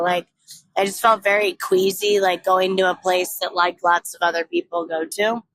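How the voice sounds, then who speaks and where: A woman's voice: lowish voice, a bit bright, poor audio. A young woman from California telling a travel story in a casual, reflective way, full of "like"s and restarts. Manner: chatty, reflective, many "like"s and restarts. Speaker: travel vlogger.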